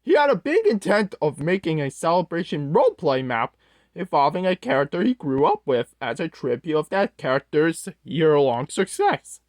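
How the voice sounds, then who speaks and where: A performer voicing an awkward, easily flustered storyteller who haltingly reads a scripted scary story aloud. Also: mid-range voice, sing-song, dry recording, clean studio sound, close to an everyday voice. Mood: narrating. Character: Strong-Arm, awkward, scatterbrained storyteller host character. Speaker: storyteller host.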